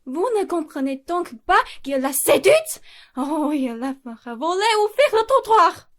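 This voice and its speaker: Woman acting a high-pitched, bossy society lady. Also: lively intonation, a little slow. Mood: exasperated. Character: wealthy, bossy, theatrical older society lady. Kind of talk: radio play acting.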